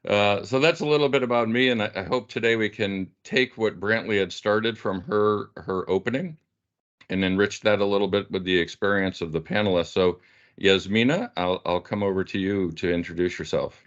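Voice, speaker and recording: A man moderates a panel casually. His voice is deep and low, heard over a slightly dull video call. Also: moderate pace, a bit bright.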